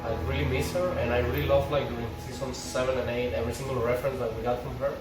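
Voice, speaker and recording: A man's voice: medium pitch, flat delivery, racing, over loud music. A young man chatting casually and enthusiastically as a fan, rambling from one thought to the next. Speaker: fan discussing a TV series. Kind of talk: casual chat. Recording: roomy, distant mic with loud background noise.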